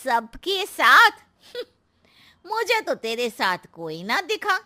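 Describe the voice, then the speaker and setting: Casting: actress as sly, sulky troublemaking aunt for a moral story; bright piercing tone, unhurried taunting delivery. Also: female voice, high voice, wildly swooping pitch, heavily acted. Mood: sly flattering. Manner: taunting, exaggerated drawn-out sympathy. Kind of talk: Hindi moral story voice acting.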